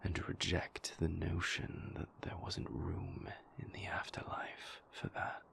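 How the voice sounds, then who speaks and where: Brooding male vampire lover for intimate audio roleplay, acted by a man: very low, breathy and whispered, halting, conflicted, world-weary. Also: monotone, a bit bright, exaggerated voice. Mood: somber, measured. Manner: halting, deliberate, confessional, formal wording.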